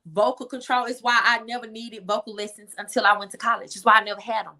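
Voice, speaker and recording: A woman's voice: fairly high pitch, steady tone, fast talker, sharp tone. A woman speaking casually as an interview guest, emphatic and repeating phrases to make her point. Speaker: interview guest, singer-songwriter.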